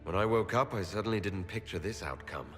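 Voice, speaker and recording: A man's voice: low voice, brisk, a bit bright. An actor playing a wary, questioning male elf adventurer in a fantasy game, with a skeptical manner. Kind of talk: dramatic fantasy game acting. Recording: long hall reverb, music behind.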